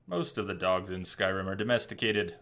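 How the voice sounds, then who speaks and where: A man's voice: deep voice, fairly even intonation, fairly quick, a bit bright. A man giving casual live gameplay commentary as a streamer, rambling through explanations in a joking way. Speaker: game streamer. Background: game music.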